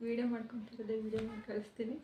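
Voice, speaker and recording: A woman's voice: a little high, flat delivery, rapid-fire, dull audio. A woman vlogger talking casually in a playful, teasing, insistent way while pranking her husband on the phone. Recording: roomy with faint noise.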